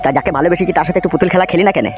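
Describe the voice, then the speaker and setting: A man's voice: sits high, very fast, muffled recording, over music, natural voice. A man voicing a blunt, foul-mouthed, world-weary young henchman in an amateur comedy cartoon. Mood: bitter storytelling. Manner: blunt, swearing, rural colloquial talk.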